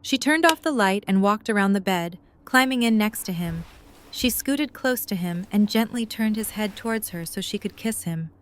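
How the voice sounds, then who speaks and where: A woman's voice: medium pitch, animated, moderate pace, a little hiss or hum. A woman reading scripted third-person story narration as the narrator of an audio drama.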